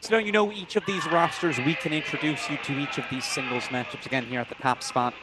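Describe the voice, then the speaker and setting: A man doing play-by-play commentary for a college tennis broadcast, brisk and informative, with brief apologetic asides. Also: on the high side, fairly even intonation, noisy background.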